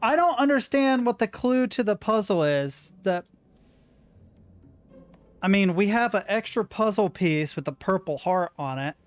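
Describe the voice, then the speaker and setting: Brief: young male gamer, slightly high voice, mumbles his way through a puzzle. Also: expressive, measured pace, soft background music. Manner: thinking aloud, muttering to himself. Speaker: gaming streamer. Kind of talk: let's play commentary. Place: room at home with a window and a rattling door.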